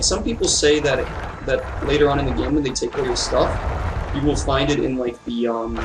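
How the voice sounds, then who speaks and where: A man with a mid-pitched voice commentates casually on a video game. He rambles in an offhand way, with music playing behind him. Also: distant mic, poor audio. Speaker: let's play gamer.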